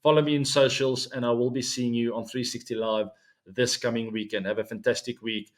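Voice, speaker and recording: A man in his forties with a South African accent hosts his own cricket show, his pitch sitting right in the middle range for a man. He talks in a loose, friendly way, drops in plenty of ums, and turns to his viewers with asides. Afrikaans is his first language. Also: a little fast. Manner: chatty, relaxed, many ums and asides to viewers. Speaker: show host. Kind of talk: solo weekly cricket show.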